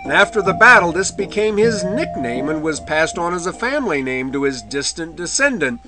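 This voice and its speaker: A man giving an illustrated history lecture in a measured way, with the odd wry aside. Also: on the high side, big pitch swings. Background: faint medieval music.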